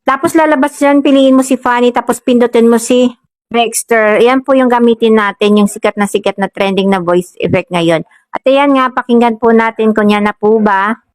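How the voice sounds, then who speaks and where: A woman's voice: fairly high pitch, moderate pace, darkish tone, no echo. A woman with a Filipino accent hosting a casual step-by-step app tutorial in a polite, instructional manner. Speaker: tutorial host.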